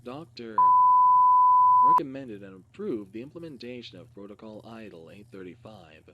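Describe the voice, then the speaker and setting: A man reads a scripted case file as narration, in a halting, word-by-word way. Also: fairly low pitch, bright, forceful tone. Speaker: reader. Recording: clearly noisy recording with hum.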